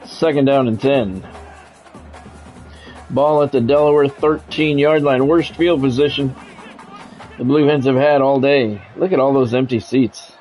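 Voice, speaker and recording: A man's voice: medium pitch, steady tone, unhurried, pauses often, a little mellow, soft background music. An American man doing football play-by-play on a gaming stream, mixed with casual chat. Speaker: gaming streamer calling play-by-play of own game; former radio sports play-by-play announcer.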